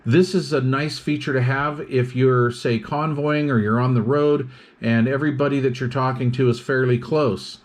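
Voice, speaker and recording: A man's voice: medium pitch, fairly even intonation, moderate pace. A man giving a casual hands-on product review, explaining things step by step.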